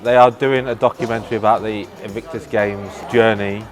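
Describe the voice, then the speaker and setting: Lowish-voiced man. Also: steady tone, brisk, soft background music. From Horncastle, Lincolnshire, England. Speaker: athlete, wheelchair rugby player. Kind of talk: casual interview talk.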